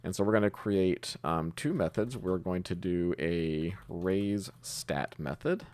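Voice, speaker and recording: A man's voice: deep voice, unhurried, a little mellow. A man presenting an informal step-by-step screencast tutorial, explaining things as he goes. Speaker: host of a programming tutorial channel.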